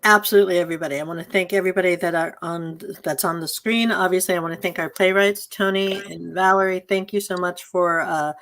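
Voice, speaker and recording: A woman's voice: on the low side, steady tone, quick pace, few pauses, fairly bright tone. A woman informally hosting an online event over a video call, speaking in an effusive, thankful manner. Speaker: host and director.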